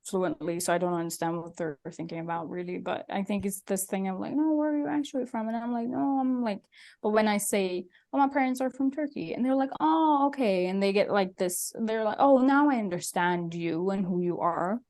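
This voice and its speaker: A woman's voice: mid-range voice, a little fast, darkish tone. A young woman born and raised in Sweden, talking casually as a podcast co-host, full of "like" fillers and quoted remarks. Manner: chatty, quotes others in a lifted voice, many "like" fillers. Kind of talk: casual chat.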